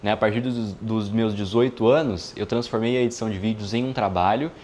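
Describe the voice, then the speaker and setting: A man in his early twenties, a video editor, talking casually to his viewers in a relaxed, chatty way with frequent filler tags. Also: fairly low pitch, a little fast. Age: 20s. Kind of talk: casual vlog monologue.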